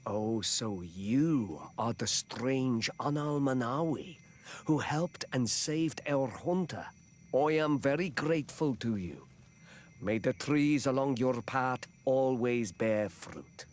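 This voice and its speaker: Slow, swooping, solemn village chief. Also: male voice, medium pitch, lively intonation, a little mellow, a little hiss or hum. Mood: courteous, grateful. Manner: measured, formal, ceremonious. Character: courteous male native village chief, diplomatic, solemn. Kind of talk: video game character dialogue.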